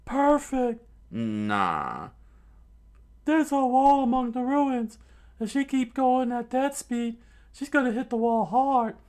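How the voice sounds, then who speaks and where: A man's voice: very high-pitched, very animated, slow pace, a little mellow, pitched above the performer's own voice, full character voice. A man voicing a cowardly young male sniper who yelps and panics. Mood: scheming. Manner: yelping, panicky. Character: frantic young male long-nosed sniper, cowardly and shrill.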